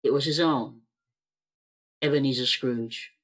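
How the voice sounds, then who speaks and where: A man reads the narration of an amateur radio play over a video call, in a steady storytelling manner. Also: mid-range voice, measured pace.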